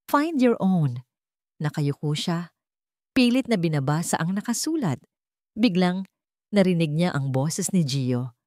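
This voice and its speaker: A woman's voice: fairly low pitch, lively intonation, unhurried, long pauses, darkish tone, no room sound, clean studio sound. A woman reading a scripted romance story as a dramatic narrator.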